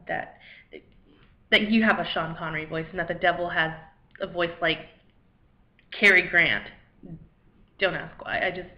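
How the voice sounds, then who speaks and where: A woman acting a dramatic monologue as a weary, self-deprecating failed poet, anxious and rambling, in a halting, wry, conversational way. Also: lowish voice, gravelly. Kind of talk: dramatic monologue, cold read. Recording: echoey room, dull lo-fi microphone.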